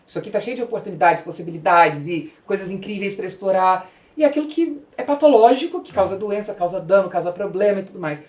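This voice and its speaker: A woman's voice: mid-range voice, animated, quick pace, few pauses, a little mellow. A woman with a Brazilian Portuguese accent, hosting a conversational interview and explaining her points through repetition and lists. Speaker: podcast host, interviewer. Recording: roomy echo.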